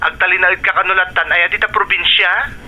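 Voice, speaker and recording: A woman's voice: on the low side, steady tone, fairly quick, bright, forceful tone, husky, lo-fi sound, full character voice. A woman voicing a chatty friend on the phone who keeps urging her friend to come home, in a radio drama. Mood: coaxing.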